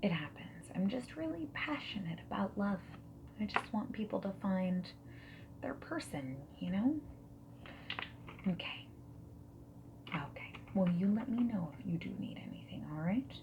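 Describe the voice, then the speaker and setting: A woman playing a friendly, non-judgmental dating service matchmaker in a gentle, soft-spoken and whispered ASMR roleplay. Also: medium pitch, very animated, lo-fi sound, noisy background. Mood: eager, caring. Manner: gentle, reassuring, asks questions and pauses for answers. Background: mechanical keyboard typing and clicks.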